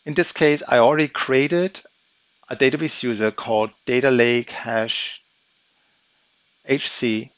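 A man giving a methodical, step-by-step walkthrough of a software demo, explaining each action as he goes. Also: medium pitch, no room sound. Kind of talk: screen-recorded software walkthrough. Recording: dry, slightly dull audio.

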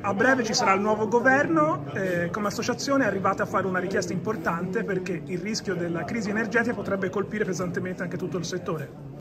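A man's voice: high-pitched, a little fast. A man interviewing guests at a trade fair, asking conversational questions. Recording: crowd chatter behind.

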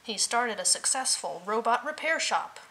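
A woman reading a children's picture book aloud in an expressive, playful way, adding vocal sound effects. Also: on the high side, moderate pace, rough recording.

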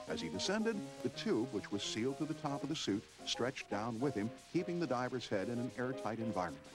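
A man narrating a scripted feature segment with a lively storytelling delivery. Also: medium pitch, rough recording. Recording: narration over loud music.

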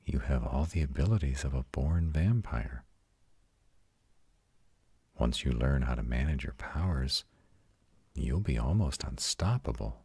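A man voicing a tender, protective male vampire in an intimate, hushed audio roleplay, soothing and husky. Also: animated, unhurried, pauses often, no room sound, heavily acted. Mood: reassuring. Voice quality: very deep, husky, often breathy whispering. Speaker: voice actor.

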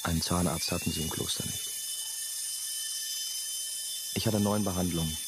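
An actor playing a brooding, haunted former novice, confiding his story in measured, terse radio drama narration. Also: low voice, fairly even intonation, heavy background noise, close to an everyday voice. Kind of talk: first-person radio drama monologue.

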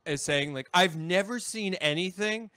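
A man's voice: sits high, normal speed, pushed and bright, smooth, no room sound, studio quality. A man in casual comedic banter who swears and exaggerates. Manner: swearing, hyperbolic.

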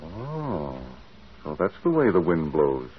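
Easygoing sidekick, lowish voice that moves a lot, old radio mystery. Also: male voice, very animated, takes it slowly, heavily acted. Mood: curious, concerned. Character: loyal, easygoing male detective's companion. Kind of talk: 1940s radio acting. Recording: muffled, noisy old radio recording.

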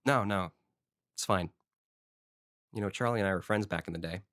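A man's voice: deep voice, normal speed, fairly bright tone, no room sound, studio quality. An actor playing a friendly, talkative small-town man with a wistful side, in a fiction podcast. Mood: wistful, subdued. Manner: talkative, casual, folksy. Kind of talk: conversational audio drama acting.

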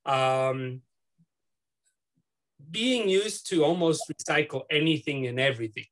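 A middle-aged man from Switzerland with a Swiss accent, interviewing someone over a video call from his home office, talking haltingly with many fillers and restarts. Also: a little high. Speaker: student interviewer. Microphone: laptop webcam on video call.

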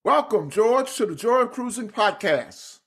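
Podcast host, male, high-pitched voice, interview format with scripted intros. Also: animated, unhurried, fairly bright tone, breathy edge.

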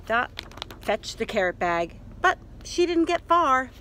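A middle-aged woman reads a children's story aloud with a high, animated voice. She is expressive and often turns to her listeners with questions. She is outdoors, with some background noise. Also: slow. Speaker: library story reader. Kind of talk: children's picture-book read-aloud. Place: outdoors in a leafy yard.

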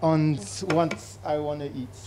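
A man's voice: a little high, little pitch movement, measured pace, noisy background. A young man born in Haiti, presenting a cooking show and asking short, curious questions. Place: large teaching kitchen studio.

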